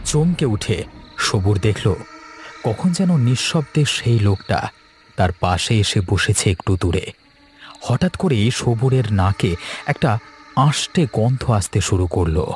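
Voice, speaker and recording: A man's voice: on the low side, lively intonation, takes it slowly, slight background noise. A man narrating a scripted ghost story for a cartoon.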